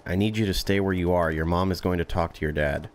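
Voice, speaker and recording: A man's voice: deep voice, fairly even intonation, fast, background noise. A young man playing a game, casually reading dialogue aloud in a blunt way. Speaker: gameplay streamer. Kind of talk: game playthrough, reading dispatcher lines aloud with reactions.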